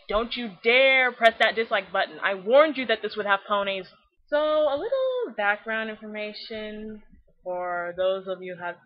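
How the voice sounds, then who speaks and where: Young female fan-video host, mid pitch, very animated, snarky and profane commentary, lo-fi recording. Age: young adult. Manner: sarcastic, exaggerated, profane.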